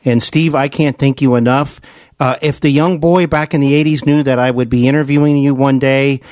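A man in his forties hosting an interview podcast, speaking in an earnest, deliberate and effusive way. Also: mid-range voice, steady tone, a little mellow, dry recording. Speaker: podcast host.

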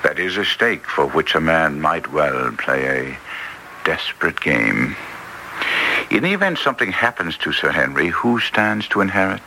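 A shrewd detective of classic radio drama, giving orders with formal authority. His voice is very deep and swings expressively through its range. It comes over a noisy vintage radio recording. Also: big pitch swings, normal speed, bright, forceful tone, full character voice. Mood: brisk, probing.

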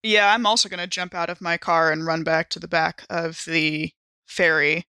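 A woman's voice: fairly low pitch, flat delivery, normal speed, bright, forceful tone, smooth, no echo. A woman playing a tabletop game, describing her character's actions in improvised talk. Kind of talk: improvised actual-play talk.

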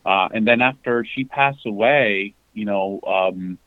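A man in his forties from the Dominican Republic, a guest talking haltingly with many fillers and restarts. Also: on the low side, no echo. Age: 40s. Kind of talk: candid conversational interview. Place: parked outside a sports venue. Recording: dull lo-fi remote call.

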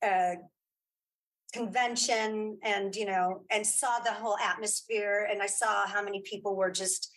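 A middle-aged or older woman chatting casually as an interview guest, telling stories with animated, self-mocking asides. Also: medium pitch, unhurried, poor audio. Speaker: interview guest, audio drama creator and former actress.

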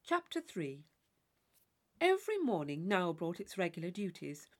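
Animated woman reading a classic novel. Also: lowish voice, unhurried. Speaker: audiobook reader. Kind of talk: scripted literary narration.

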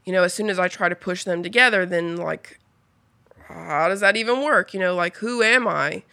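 A woman's voice: on the low side, normal speed, creaky, sharp tone, dry recording, studio quality. A woman of twenty-five, a podcast guest, telling her story in a rambling, casual way, full of fillers and the odd swear word.

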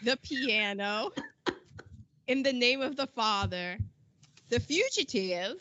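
A woman's voice: a little high, expressive, slow pace, sharp tone, no echo, lo-fi sound. A woman in her forties co-hosting a podcast, casually running through past events from her notes and acting out little quotes. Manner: chatty, quips and acts out quotes. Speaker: podcast co-host. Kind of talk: casual chat reading out history notes.

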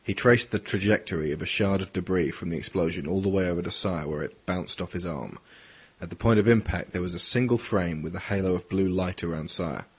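A man with a husky voice reading dramatic scripted narration for an audio drama. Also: low-pitched, fairly quick.

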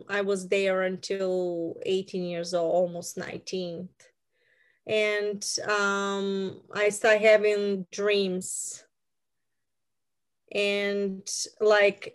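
A woman speaks as a podcast guest, pausing often with fillers. Her voice is smooth and clear and moves slowly. Her intonation stays level. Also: mid-range voice. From Bulgaria. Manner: hesitant, with many fillers. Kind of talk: casual interview talk.

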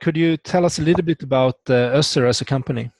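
A man's voice: medium pitch, fast talker, dry recording. A man hosting a podcast, talking casually as he introduces and questions his guests. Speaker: podcast host. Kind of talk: interview, casual chat.

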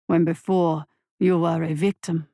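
A woman performs an ancient, hulking tortoise monster for a fantasy audiobook. The voice drops low into a rumbling growl. Each pronouncement comes slowly and deliberately, with cold, cruel authority. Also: little pitch movement, warm tone, no echo, clean studio sound. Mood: stern, commanding. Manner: slow, deliberate, dismissive pronouncements.

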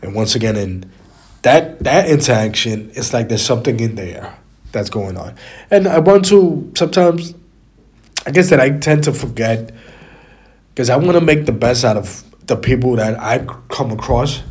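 A man with a raspy, stuffy voice from a cold, talking casually and in a rambling way as a solo podcast host, repeating phrases for emphasis. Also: mid-range voice, lively intonation, normal speed. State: stuffy, slightly hoarse from a cold.

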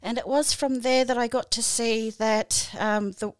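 A woman's voice: fairly high pitch, steady tone, a little slow, clear, resonant tone, no echo. A woman in her forties with an Australian accent, a podcast guest talking about her volunteer work, with many fillers and restarts. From New Zealand. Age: 40s. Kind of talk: casual interview answers.

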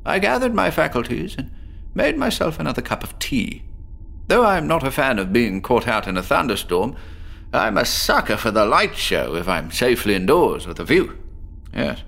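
A man's voice: mid-range voice, sing-song, moderate pace, vocal fry, a bit bright, heavily acted. An actor playing a chatty, nervously jovial male patient with an apple obsession, telling his story theatrically in a horror audio drama. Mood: relishing, eerie. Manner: theatrical, rambling, with nervous chuckles.

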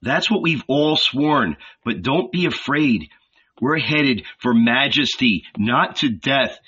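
A man voicing an excited, persuasive male shepherd disciple who urgently pleads with a friend. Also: mid-range voice, takes it slowly, slightly rough, exaggerated voice. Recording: dull lo-fi recording.

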